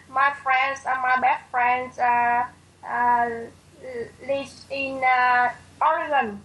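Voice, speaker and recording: A woman's voice: high-pitched, flat delivery, drawn-out words, fairly bright tone, rough recording. A young woman with a Vietnamese accent, hesitantly searching for words over a video call. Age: young adult. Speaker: caller. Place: dark room indoors.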